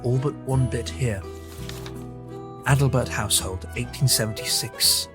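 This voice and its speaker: An actor playing a dryly witty, proud Victorian detective in an audio drama, speaking in a clipped, nonchalant, formal way. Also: male voice, mid-range voice, steady tone, unhurried, music in the background, natural voice. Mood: measured. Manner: clipped, nonchalant, formal old-fashioned speech.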